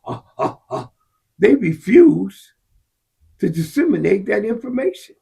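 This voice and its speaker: A man in heated casual discussion, his husky voice sitting high. He is impassioned and profane. His voice climbs to shouts when he presses a point. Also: very animated, measured pace. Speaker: podcast co-host.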